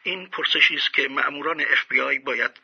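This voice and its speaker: A man playing a brisk, composed woman television reporter in an audio drama. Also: medium pitch, vocal fry, husky, lo-fi sound. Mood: brisk, serious.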